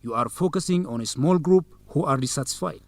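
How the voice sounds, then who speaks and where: An actor playing a manipulative young student council president in a radio drama, formal, measured and insistent. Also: male voice, a little high, no echo, close to an everyday voice. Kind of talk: educational radio drama acting.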